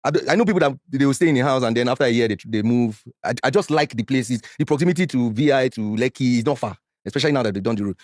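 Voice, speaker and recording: A Nigerian man in his forties telling a painful personal story as a podcast guest, animated and slipping into Pidgin when worked up. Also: rapid-fire, few pauses, no room sound, studio quality. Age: 40s. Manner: animated, mixes Pidgin, gets loud and high when worked up.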